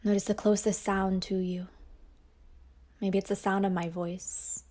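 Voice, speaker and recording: A woman teacher guiding a yoga nidra meditation in a slow, soft voice that often drops toward a whisper. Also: mid-range voice, level tone. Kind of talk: guided meditation.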